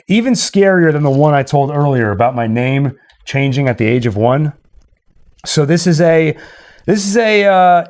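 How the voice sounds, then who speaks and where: A man with a slightly high voice hosts an online livestream. He talks in a casual, conversational way, addressing the viewers as he goes. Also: darkish tone. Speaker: livestream host.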